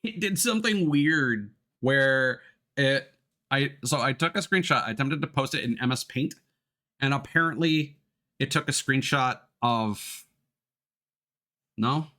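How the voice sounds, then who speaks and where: A man's voice: medium pitch, bright, forceful tone. A man live-streaming games, chatting casually to viewers and thinking aloud in informal gamer slang with some swearing, on a close desk microphone. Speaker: live streamer, let's play host. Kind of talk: casual chat with viewers while setting up a game stream. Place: indoors at a computer.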